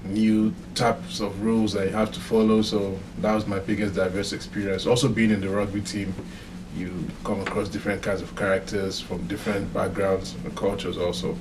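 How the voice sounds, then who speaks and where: A man's voice: lowish voice, flat delivery. A young man from Nigeria, a student answering interview questions in a hesitant way with many ums. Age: young adult. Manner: hesitant, fills pauses with um. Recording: distant mic with clear background noise.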